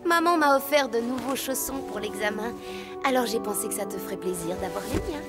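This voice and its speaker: Cartoon casting: woman as generous schoolgirl friend, high gentle voice, eager, over music. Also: animated, fairly quick, natural voice. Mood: kind, eager. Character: kind young girl, generous friend making amends. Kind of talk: cartoon voice acting.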